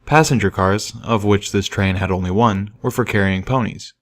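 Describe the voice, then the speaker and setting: A man's voice: fairly low pitch, moderate pace. A man reading a scripted first-person story aloud as an audiobook narrator. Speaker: audiobook reader.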